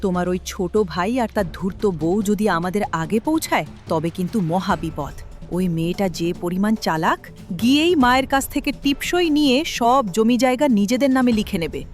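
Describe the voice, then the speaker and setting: নারীর কণ্ঠে নিষ্ঠুর, লোভী, কুচক্রী বড় বউ. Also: female voice, medium pitch, fairly quick, natural voice. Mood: scheming. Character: cold, greedy, scheming wife of elder son. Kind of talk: melodramatic cartoon dubbing. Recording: music behind the voice.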